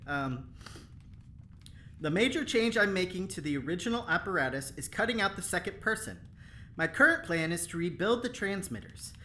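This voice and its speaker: An actor playing an eager, insecure, chatty engineer in an audio drama, self-conscious and halting in delivery. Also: medium pitch, expressive, bright, forceful tone, slight background noise. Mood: earnest, self-conscious.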